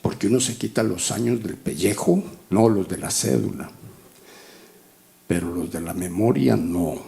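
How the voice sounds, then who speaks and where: A man's voice: lowish voice, a little slow, creaky, dark tone. A man in his sixties preaching a conversational, teaching sermon, often checking in with short rhetorical questions. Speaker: pastor teaching a Bible class.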